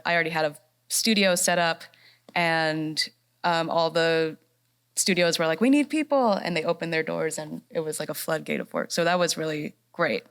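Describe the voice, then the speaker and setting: Bubbly voice actress lady, low smooth voice, chatting on a panel. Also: fairly bright tone. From North Carolina. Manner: bubbly and upbeat, laughs often. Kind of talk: casual panel talk. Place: fine arts center stage.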